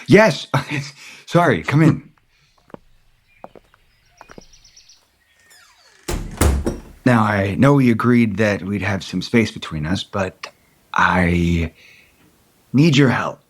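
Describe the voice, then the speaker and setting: An actor playing a wistful wealthy property developer in an audio soap opera, speaking tenderly and haltingly. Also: male voice, lowish voice, lively intonation. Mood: nervous, eager. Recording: music bed under the dialogue.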